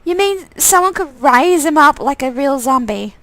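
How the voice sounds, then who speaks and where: A woman's voice: sits high, lively intonation, moderate pace, bright, forceful tone, dry recording, voice pushed up, exaggerated voice. A woman voicing a wary visual novel heroine, pointed and questioning in her delivery. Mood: questioning, annoyed.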